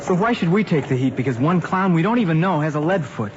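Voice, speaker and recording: An actor playing an earnest, aggrieved eighteen-year-old American son in a radio drama, talking in plain slang. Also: male voice, on the high side, expressive, fast, lightly acted. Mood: resigned, sulky. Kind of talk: mid-century American radio drama acting. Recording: muffled, noisy old radio recording.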